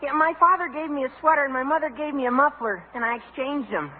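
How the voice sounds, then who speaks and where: An eager, gawky teenage boy squeaks along in a very high voice. It sounds like fifties radio comedy on a tinny, faintly noisy recording. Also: fast talker, over a noisy crowd. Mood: eager, boyish. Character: earnest, high-voiced awkward teenage schoolboy. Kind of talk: 1950s radio comedy acting.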